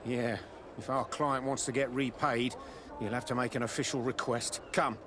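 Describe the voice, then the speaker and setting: An actor playing a surly, threatening street thug in a video game. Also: male voice, mid-range voice, flat delivery, normal speed, noisy background, natural voice. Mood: grudging. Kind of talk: video game cutscene acting.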